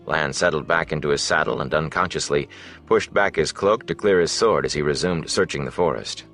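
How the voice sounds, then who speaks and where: Low male audiobook narrator, scripted fantasy story, faint music under him. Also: fairly quick, fairly bright tone.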